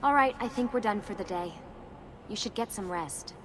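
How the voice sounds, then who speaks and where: A woman's voice: mid-range voice, normal speed, natural voice. A woman playing a serious, determined young team leader in a video game, matter-of-fact and guarded. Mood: sombre, guarded. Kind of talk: video game cutscene dialogue. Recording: clear background ambience noise.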